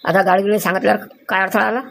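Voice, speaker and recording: A woman's voice: mid-range voice, level tone, racing, creaky. A woman voicing a nosy, easygoing village man in a comedy cartoon, with a familiar manner and a Varhadi Marathi accent. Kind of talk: exaggerated cartoon comedy dialogue.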